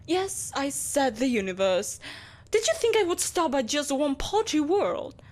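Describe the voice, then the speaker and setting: A woman's voice: high-pitched, very animated, measured pace. A woman playing an imperious, seductive evil empress in a scripted roleplay audio drama, speaking in a haughty, theatrical, coaxing manner. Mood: menacing, grandiose. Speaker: voice actor.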